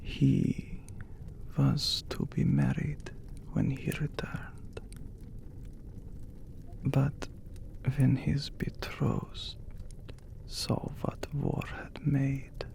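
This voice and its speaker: A man playing an ancient, courteous, brooding vampire count in an ASMR roleplay, speaking in a breathy, gravelly hush with formal phrasing and long pauses. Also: medium pitch, level tone, full character voice. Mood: somber, haunted. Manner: slow, formal, hushed, with long dramatic pauses. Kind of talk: ASMR romantic roleplay, scripted character acting.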